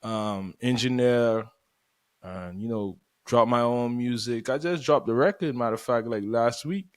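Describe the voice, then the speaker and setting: A man's voice: medium pitch, measured pace, no echo, clean studio sound. A man co-hosting a podcast, talking in an easygoing way full of "man" and "you know.". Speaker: podcast co-host, music artist. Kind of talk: casual chat.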